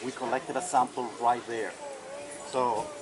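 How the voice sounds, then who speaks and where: A soft-voiced man who struggles to project, giving a measured, formal technical explanation as a county official at a public meeting. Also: mid-range voice, flat delivery. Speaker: county environmental official answering questions. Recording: noisy public meeting, loud ambient noise.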